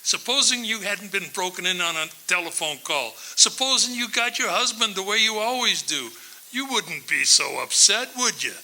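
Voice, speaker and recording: A man's voice: sits high, expressive, moderate pace, sharp tone, slight background noise, heavily acted, low-quality recording. A man acting a dismissive police desk officer in a live radio play, patronizing and brushing off the caller.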